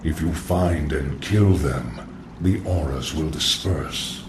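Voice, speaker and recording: A very deep, cavernous lich sorcerer from a video game, speaks with cold patience. His formal, portentous words come slowly over loud ambient noise. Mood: cold, measured. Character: undead lich sorcerer, male, coldly patient and scholarly. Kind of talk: video game cinematic acting.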